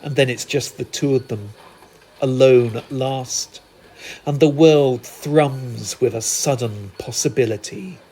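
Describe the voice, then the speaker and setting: A man performing narrative poetry in a theatrical, deliberate manner with dramatic pauses and emphatic repetition. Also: medium pitch, darkish tone, husky, low-quality recording. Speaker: spoken-word poet.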